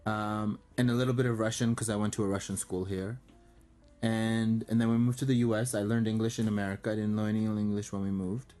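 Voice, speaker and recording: A man whose first language is Armenian, a guest on a talk show, explaining his diplomatic work in a fluent, steady manner with frequent ums and uhs. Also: fairly low pitch, fast, faint music behind. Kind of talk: casual interview talk.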